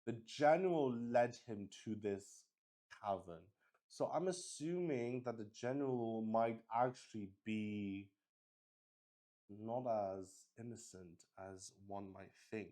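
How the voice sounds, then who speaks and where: A man hosting a solo tabletop roleplay game, improvising narration and thinking aloud with hesitant pauses. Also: fairly low pitch, warm tone.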